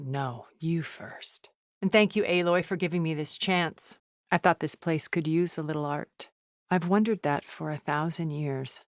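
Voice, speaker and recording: An actress playing a poised, cultured, wealthy woman in a video game, speaking in a measured, formal, refined way. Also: lowish voice, dry recording, clean studio sound, close to an everyday voice. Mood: gently wry, then composed. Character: poised, cultured, wealthy woman, a thousand years old, sounding middle-aged. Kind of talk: video game character dialogue.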